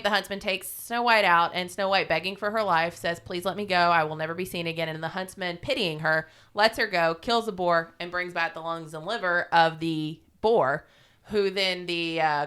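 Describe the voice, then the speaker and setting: Female podcast storyteller, irreverent and informal; lowish pitch, very clear tone. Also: sharp tone. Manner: irreverent, salty-tongued, informal. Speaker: podcast co-host. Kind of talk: casual retelling of a fairy tale.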